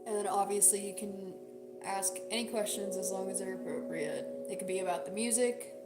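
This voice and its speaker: A woman with a hoarse, strained voice that breaks up at times, chatting casually and jokingly with livestream viewers. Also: medium pitch, a little fast. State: hoarse, sore strained voice from singing. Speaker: livestream host, singer. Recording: roomy echo, loud music behind. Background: loud background music.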